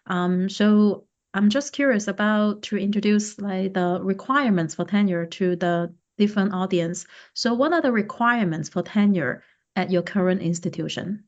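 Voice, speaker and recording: A woman speaks as the host of an interview podcast. Her pitch is average for a female voice and her phrasing is deliberate and a little halting. The sound is dull and muffled.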